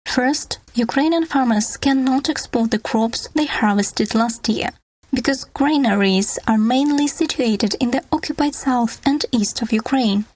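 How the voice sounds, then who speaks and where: Woman narrator with a slightly high voice. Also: measured pace, darkish tone, low-quality recording. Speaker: podcast narrator. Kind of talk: scripted documentary narration.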